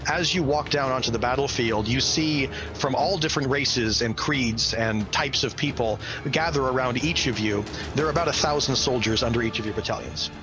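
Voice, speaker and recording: A man's voice: mid-range voice, normal speed, rough recording. Theatrical male game master over music. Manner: theatrical, earnest, descriptive. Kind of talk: dramatic narration of a tabletop role-playing game. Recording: prominent music under the voice.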